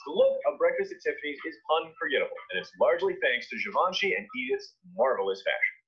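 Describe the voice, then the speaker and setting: A man's voice: fairly high pitch, wildly swooping pitch, quick pace, slightly rough, highs cut off. A man narrating a scripted pop-culture countdown in a cheeky, casual, emphatic manner. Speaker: video list narrator.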